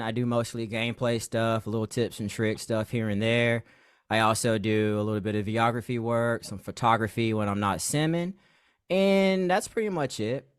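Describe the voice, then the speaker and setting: A man talking as a podcast guest about his gaming videos, relaxed and rambling, trailing off into quieter asides. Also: mid-range voice, clean tone, no echo, studio quality. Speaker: podcast guest, game content creator. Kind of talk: casual chat.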